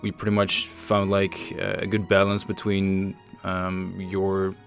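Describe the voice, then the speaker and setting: A man hosting a developer livestream, explaining things casually in a measured, halting way. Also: low-pitched, completely flat delivery, gravelly. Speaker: host and project lead on a developer livestream. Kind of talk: casual show-and-tell commentary over game screenshots. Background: faint music.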